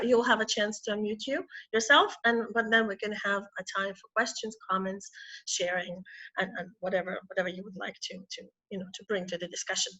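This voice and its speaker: A middle-aged woman from Ukraine, hoarse with a cold, giving an online talk as a psychotherapist, clearing her throat and restarting often. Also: on the high side, pushed and bright. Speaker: psychotherapist and webinar speaker. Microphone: video call. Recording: muffled lo-fi video call audio.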